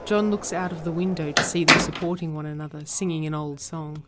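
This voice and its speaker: A woman narrating a drama script, reading stage directions in a measured, matter-of-fact way. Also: low-pitched, fairly even intonation, very noisy.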